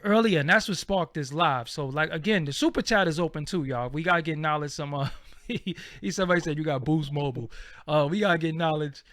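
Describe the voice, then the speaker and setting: A man's voice: a little high, a little fast, a bit bright, no room sound. A man hosting a live-stream interview, asking his guest questions in a casual way. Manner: casual, asks questions with many fillers and restarts. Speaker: host.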